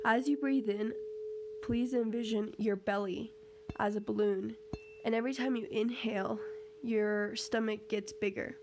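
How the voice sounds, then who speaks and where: Woman guiding meditation over loud humming tone. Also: fairly high pitch. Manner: gentle, measured, instructional with pauses. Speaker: meditation guide. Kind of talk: guided meditation. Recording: steady humming tuning-fork tone behind voice. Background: crystal tuning fork tone, hum.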